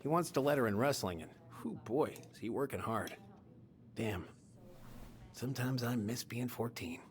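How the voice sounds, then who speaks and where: A man's voice: mid-range voice, lively intonation, normal speed, close to an everyday voice. An actor playing a genial, fatherly middle-aged American police chief in a video game, folksy and chatty. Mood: chatty, fond. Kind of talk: naturalistic video game acting.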